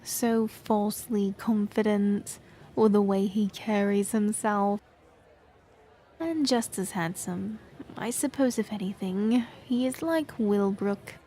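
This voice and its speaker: An actress playing a reclusive queen who speaks in a measured, regal way. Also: mid-range voice, warm tone, natural voice. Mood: musing. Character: reclusive young queen, guarded and weary. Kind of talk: audio drama acting.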